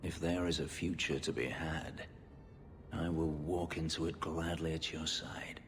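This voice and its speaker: Game role: bitter ex-slave elf warrior, very low solemn voice, reverberant hall sound. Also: male voice, level tone. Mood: remorseful, tender. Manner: brooding, solemn, measured. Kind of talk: dramatic video game acting. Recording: long hall reverb, background noise.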